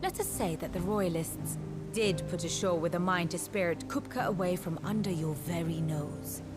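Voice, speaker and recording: An actress playing a shrewd, composed war strategist in a fantasy game, with a scholarly, eloquent, measured manner. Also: female voice, fairly low pitch, loud music behind the voice, close to an everyday voice. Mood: probing, rhetorical. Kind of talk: theatrical fantasy game dialogue.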